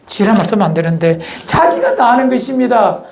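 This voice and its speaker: Gyeongsang-accented pastor in an echoey church. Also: male voice, high-pitched, very animated, a little fast, vocal fry. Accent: Gyeongsang Korean. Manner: folksy, asks the congregation questions. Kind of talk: sermon. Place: church sanctuary.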